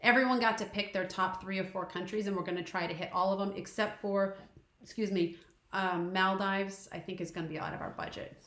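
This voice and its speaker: A woman in her forties talks casually on a live stream, reading viewer questions aloud and answering them in quick, chatty bursts. Her voice sits at an ordinary middle pitch. The room gives it a noticeable echo. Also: steady tone, a bit bright. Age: 40s. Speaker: travel channel co-host.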